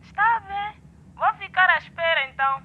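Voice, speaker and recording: A woman's voice: extremely high pitch, animated, moderate pace. A woman playing a middle-aged Mozambican mother in a radio drama, fussing affectionately over her grown son through a telephone filter. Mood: tender. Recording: muffled phone call with faint noise.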